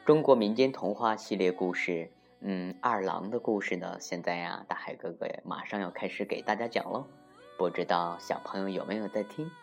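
A woman narrating a folktale for children as an expressive storyteller, deliberate and with dramatic emphasis. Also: a bass voice. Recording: muffled lo-fi recording with music.

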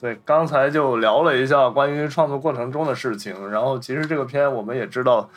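A man's voice: medium pitch, fairly quick. A man, talking casually about films as a podcast host. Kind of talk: casual film discussion.

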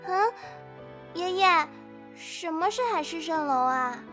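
A woman's voice: extremely high pitch, lively intonation, slow pace, sharp tone, heavily acted. A woman playing a curious, earnest little child in an audio drama, full of questions. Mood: curious, pleading. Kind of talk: Chinese audio drama acting. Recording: background music behind the voice.

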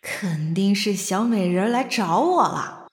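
女声优演的回音很重的少女俏皮心声. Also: female voice, medium pitch, animated, unhurried. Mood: playful. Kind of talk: inner monologue in audio drama. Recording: echoey long-reverb thought effect.

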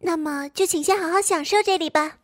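Woman acting a very high-pitched childlike villager. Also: brisk, dry recording, clean studio sound. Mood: cheerful, welcoming. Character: cheerful childlike villager greeting visitors. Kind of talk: Chinese sci-fi radio drama acting.

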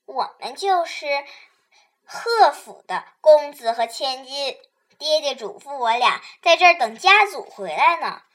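A woman voicing lively, playful small children in a children's radio play, excitable and bookishly polite. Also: sits high, measured pace. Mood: curious.

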